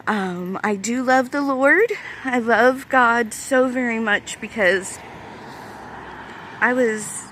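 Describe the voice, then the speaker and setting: A woman's voice: on the high side, a bit bright, slight background noise. A woman in her thirties with a young-sounding voice, chatting casually to camera in a rambling, hesitant way with many fillers. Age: 30s. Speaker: vlogger.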